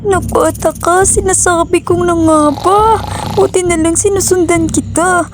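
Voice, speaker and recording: A woman's voice: very high-pitched, steady tone, moderate pace, background noise. A woman voicing a fretful loving village mother. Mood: scolding. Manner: scolding then tender, fussing. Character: loving worried village mother, middle-aged. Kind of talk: storybook cartoon voice acting.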